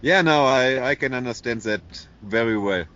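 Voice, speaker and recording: A man's voice: mid-range voice, moderate pace, a bit bright, dull audio. A man with a German accent speaking as an interview guest, earnest and repeating words as he goes. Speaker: animal welfare worker. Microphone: internet call.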